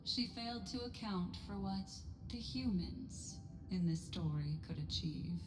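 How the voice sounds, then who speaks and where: A woman voicing a composed, thoughtful female character in a game story, reasoning in a deliberate, analytical way. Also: mid-range voice, lively intonation, close to an everyday voice. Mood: calm, analytical. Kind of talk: scripted game story voice acting. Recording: long hall reverb, music behind.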